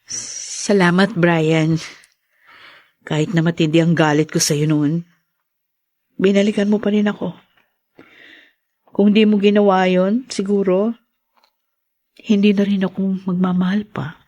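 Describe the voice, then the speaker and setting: A woman's voice: lowish voice, steady tone, a little slow, long pauses, a little mellow, dry recording, lightly acted. An actress playing an earnest, sentimental young Filipino woman in a radio drama, once heartbroken and now tender. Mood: tender, grateful.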